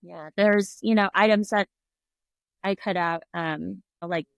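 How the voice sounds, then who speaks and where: A woman's voice: medium pitch, fairly even intonation, slow, creaky, dry recording. Middle-aged woman, chatty guest who laughs and mimics others, close and dry. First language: English. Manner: chatty, laughs often, acts out quoted voices. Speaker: restaurant owner, guest. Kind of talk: casual interview conversation.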